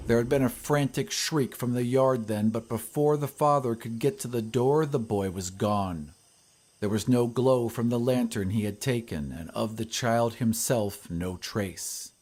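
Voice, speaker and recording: A man reading a scripted horror story in a measured, literary storytelling manner. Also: medium pitch, darkish tone. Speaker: audiobook narrator. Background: ambient sound effects.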